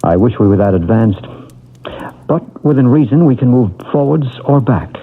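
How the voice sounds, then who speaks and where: A composed expert guiding a patient into a past life in an old radio mystery, his speech measured and soothing. His voice is husky and sits at a middle pitch, heard through a thin, phone-like band. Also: lively intonation. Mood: reassuring. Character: calm male past-life regression expert. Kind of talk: 1970s radio drama acting. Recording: tinny old radio broadcast.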